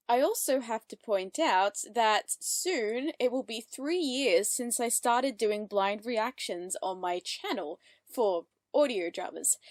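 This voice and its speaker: A chatty woman hosting a reaction video, with a fairly high voice. She comments casually and reads on-screen captions aloud. The sound is dry and close. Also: no echo.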